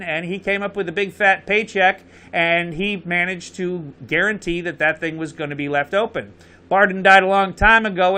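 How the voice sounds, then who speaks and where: Connecticut guy running a live call-in show, high bright voice, keeps pushing people to call in. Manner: urging viewers, repeats the phone number, rising emphatic questions. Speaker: show host.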